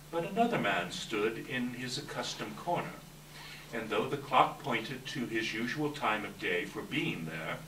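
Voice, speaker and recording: A man narrates a story with a lively, swooping delivery and a bright, forceful tone. He is reading at a staged event, picked up from a distance in an echoey room. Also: mid-range voice. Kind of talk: scripted story narration at readers theater. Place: indoor live staged reading. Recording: echoey room, distant mic, faint noise.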